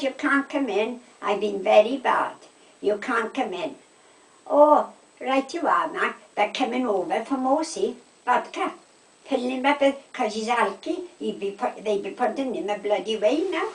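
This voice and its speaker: A woman's voice: a little high, a little slow. Echoey, distant Welsh Valleys woman, chatting. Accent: South Wales Valleys. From south Wales. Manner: animated anecdotes, quoting conversations, checking with "right?". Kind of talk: casual chat, gossipy storytelling. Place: indoors at home. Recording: echoey room, distant microphone.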